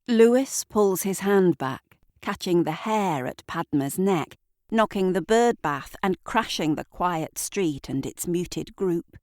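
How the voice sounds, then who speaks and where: A woman reading scripted literary narration for an audio drama in a deliberate, dramatic storytelling manner. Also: fairly low pitch, lively intonation, a little mellow, no echo, clean studio sound.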